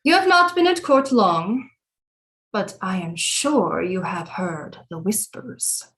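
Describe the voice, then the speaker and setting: A woman playing a cunning, imperious French queen mother with grandiose, deliberate period speech in an audio drama. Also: mid-range voice, expressive, fairly bright tone. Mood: knowing, dry. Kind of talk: theatrical audio drama acting.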